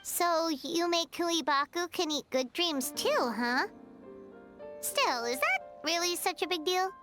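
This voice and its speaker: A woman's voice: very high-pitched, animated, takes it slowly, pushed and bright, full character voice. A woman voicing a small, childlike female fairy companion in a video game, blunt and curious, who talks about herself in the third person. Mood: cheerful. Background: clear game music.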